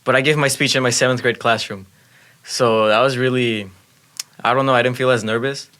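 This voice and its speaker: A man's voice: medium pitch, fairly even intonation, brisk, pushed and bright. A teenage boy whose first language is Spanish, earnestly explaining things in a casual student podcast chat. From Mexico. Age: teens. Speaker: student speech competitor.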